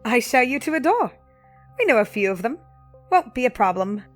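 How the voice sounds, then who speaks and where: A woman playing a bold, cocky young elf renegade in an audio drama, brisk and playfully dramatic. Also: a little high, very animated, soft background music. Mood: brisk, confiding.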